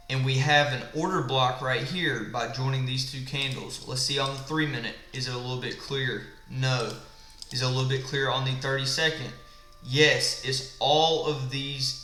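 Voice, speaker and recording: A man in an echoey room with a gravelly, smoker-like voice. He sounds tired late at night and walks through his trading setup, asking and answering his own questions. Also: mid-range voice, bright, forceful tone. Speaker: trading instructor. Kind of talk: live screen-share trading walkthrough. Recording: echoey room with faint background music.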